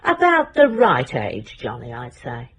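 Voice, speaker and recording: A woman's voice: extremely low pitch, wildly swooping pitch, measured pace, a bit bright, husky, exaggerated voice. An actress playing a dry, probing middle-aged British woman investigator in a radio drama, with a wry, understated way of questioning. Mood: pointed, grave.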